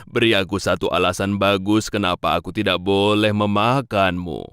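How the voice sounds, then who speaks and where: A man voicing a proud male lion, king of the forest, who talks slowly and mockingly in a children's storybook tale. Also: deep voice, steady tone, fairly bright tone, clear, resonant tone, no room sound, studio quality. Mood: haughty. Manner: slow, mocking and haughty.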